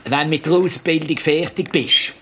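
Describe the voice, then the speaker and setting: Offhand Swiss policeman played by a man, higher-pitched. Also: moderate pace, fairly bright tone, faint music behind, close to an everyday voice. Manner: offhand, matter-of-fact. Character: reluctant, offhand male police officer. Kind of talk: children's detective radio play. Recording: faint background noise and ambience.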